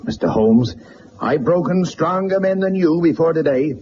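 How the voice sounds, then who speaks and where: An actor playing a rich, blustering, domineering middle-aged tycoon in a radio drama, blunt and imperious. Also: male voice, fairly high pitch, expressive, normal speed, lightly acted. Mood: indignant, threatening. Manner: forceful wording, blunt, imperious. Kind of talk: mid-century radio drama acting. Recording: muffled old radio recording, faint hiss.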